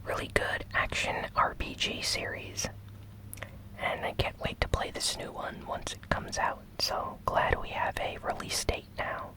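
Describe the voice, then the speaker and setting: A host softly whispering a gaming news recap in a breathy, unhurried ASMR style. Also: poor audio. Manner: slow, soft, unhurried delivery.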